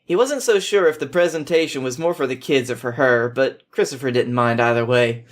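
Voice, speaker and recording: Male podcast storyteller, mid-pitched, informal narration with occasional small stumbles. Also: brisk. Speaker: podcast host reading fan fiction.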